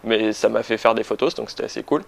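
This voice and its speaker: A man's voice: fairly low pitch, steady tone, fast, vocal fry. A man in his late twenties from Toulouse, chatting casually and with some self-deprecation as he is interviewed. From Toulouse, France. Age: late 20s. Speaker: interviewed photographer.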